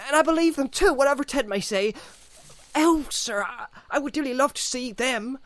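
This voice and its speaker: A man's voice: very high-pitched, moderate pace, a bit bright, no echo, pitched above the performer's own voice. A man reading aloud voices a timid, stammering country gardener who speaks in a rustic, pleading way. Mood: eager. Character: timid rustic male gardener, anxious and eager.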